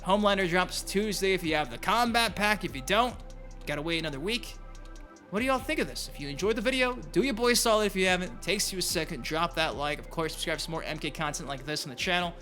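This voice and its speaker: A man's voice: high voice, animated, fast, sharp tone. A man speaking as host of a gaming news channel, giving casual, colloquial opinion commentary straight to his viewers. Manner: colloquial, profane, addresses viewers directly. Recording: music behind the voice. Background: music.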